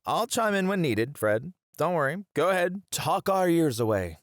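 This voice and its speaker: Guy doing a playful teasing teacher in asmr rp, flirty n theatrical, super animated pitch, dry close recording. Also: moderate pace, no echo. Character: playful, teasing male educator with theatrical flair. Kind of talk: scripted ASMR roleplay acting.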